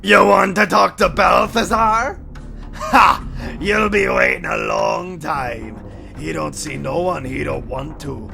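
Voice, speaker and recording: An actor playing a brash, menacing press-gang officer in a fantasy audio drama, taunting and blunt. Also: male voice, on the high side, sing-song, slow, gravelly, exaggerated voice. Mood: gruff, dismissive. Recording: loud music behind the voice.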